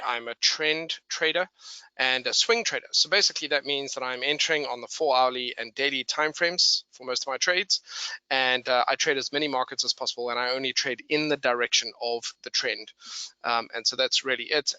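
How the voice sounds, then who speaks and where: Bright-voiced man, English-South African accent. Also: mid-range voice, clear, resonant tone, no room sound, rough recording. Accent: mixed English and South African. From England and South Africa. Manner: chatty, explanatory, addresses listeners directly. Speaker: webinar presenter and trader. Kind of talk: live instructional webinar, conversational.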